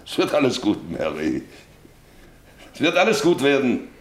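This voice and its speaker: An actor playing a middle-aged farmer and father, troubled and defensive, with a halting, brooding delivery in a German radio play. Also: mid-range voice, wildly swooping pitch. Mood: defensive, firm. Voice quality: gravelly, husky.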